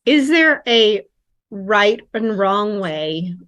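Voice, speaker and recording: A woman's voice: mid-range voice, very animated, drawn-out words, fairly bright tone. A woman speaks up in a casual question-and-answer session. She asks her question carefully and thoroughly. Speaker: audience member asking a question.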